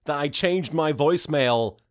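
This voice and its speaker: A man's voice: a little high, unhurried, very noisy. A British man hosting a comedic recap, dryly sarcastic and given to exclaiming. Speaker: host. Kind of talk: comedic reaction recap commentary.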